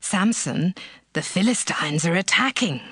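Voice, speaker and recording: A woman's voice: on the low side, animated, measured pace, a bit bright, husky, no echo, studio quality, heavily acted. An actress playing a scheming young woman in a dramatized scripture reading, coaxing and reproachful by turns. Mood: alarmed.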